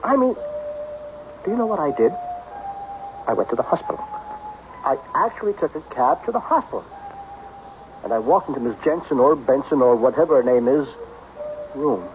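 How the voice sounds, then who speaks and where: A man's voice: medium pitch, animated, moderate pace, long pauses, close to an everyday voice. A man playing a wealthy, impatient businessman in a radio mystery, curt, imperious and easily exasperated. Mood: exasperated, incredulous. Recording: dull, noisy old radio recording.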